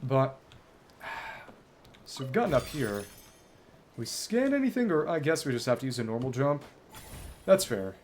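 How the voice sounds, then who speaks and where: A man's voice: mid-range voice, big pitch swings, unhurried, pauses often, slight background noise. A man livestreaming a game, thinking aloud in bookish wording as he chats casually with viewers and wanders between topics. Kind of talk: casual gameplay commentary, chatting with viewers.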